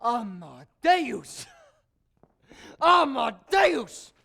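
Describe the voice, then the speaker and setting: A man's voice: very high voice, very animated, drawn-out words, creaky, pushed and bright, exaggerated voice. A man playing a bitter, scheming court composer who tells his tale in grand, confiding stage declamation. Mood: defiant. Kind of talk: theatrical stage monologue.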